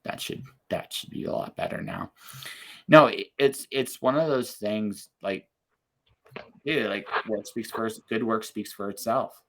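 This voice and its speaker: Upbeat low-voiced man hosting a podcast. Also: fairly bright tone. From Canada. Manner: upbeat, encouraging, talks in quick bursts. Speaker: podcast host. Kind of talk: casual interview chat.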